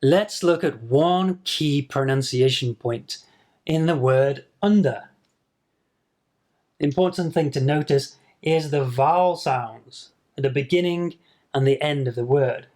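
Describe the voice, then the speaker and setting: A man's voice: a little high, unhurried, darkish tone. A man teaching English pronunciation to camera in a patient video lesson, demonstrating sounds and repeating them.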